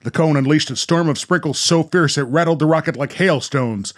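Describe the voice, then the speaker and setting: A man's voice: a little high, fairly quick. A man hosting a show, narrating a radio play and talking casually. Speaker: host and narrator.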